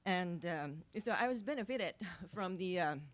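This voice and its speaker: Japanese woman, 50s, non-native English, low-pitched, hesitant and soft-spoken at a press conference podium. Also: expressive, a little slow. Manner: hesitant, many fillers and restarts, soft-spoken with nervous laughs. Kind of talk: unscripted press conference remarks. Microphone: podium microphone.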